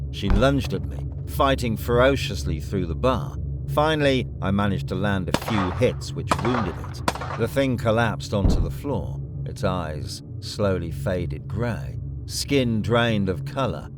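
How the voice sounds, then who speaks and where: An actor playing a grim male task force leader in an audio drama, speaking in a terse, commanding military manner. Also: lowish voice. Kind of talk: scripted audio drama narration and briefing.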